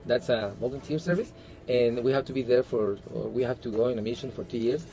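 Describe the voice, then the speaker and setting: A man's voice: medium pitch, brisk, dark tone. A man whose first language is Spanish, answering interview questions in an earnest, casual way, with many restarts and "you know".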